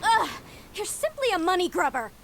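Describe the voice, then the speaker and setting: Indignant, high-voiced young historian. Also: female voice, very animated, normal speed, creaky, fairly bright tone, a little hiss or hum, heavily acted. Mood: exasperated. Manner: accusatory, emphatic. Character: earnest, indignant young female historian, scolding and principled. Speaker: game character. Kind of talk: video game voice acting.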